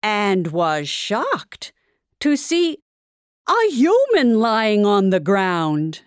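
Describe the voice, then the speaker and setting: Slow, very expressive woman storyteller. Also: medium pitch, clean tone, no echo. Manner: dramatic, deliberate storytelling. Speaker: narrator. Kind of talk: scripted storybook narration for audio drama. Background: background music.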